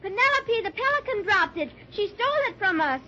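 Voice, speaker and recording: Polite little girl, super high voice, muffled noisy 1930s radio recording. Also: animated, fairly quick, pushed and bright, heavily acted. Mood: anxious, plaintive. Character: sweet, polite, wide-eyed little girl. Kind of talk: 1930s children's radio serial acting.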